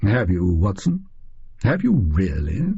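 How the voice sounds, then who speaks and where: Male reader as smug gentleman detective for a storybook: formal, precise, very husky, expressive, slightly dull recording. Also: low voice, heavily acted. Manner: smug, formal, deliberate diction. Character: conceited, precise male Victorian detective, theatrically mysterious.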